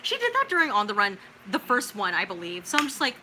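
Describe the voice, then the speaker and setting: A young American woman from California hosting a casual livestream, reading out viewer comments and reacting in an informal, expressive, slangy way. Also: mid-range voice, fast, noisy background. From California, United States. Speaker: livestream host.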